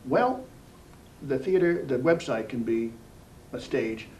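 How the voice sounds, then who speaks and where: A man hosting a local cable interview, speaking in a deliberate, emphatic way. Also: expressive, a little mellow. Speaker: interviewer, TV program host. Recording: distant mic, faint rumbling noise.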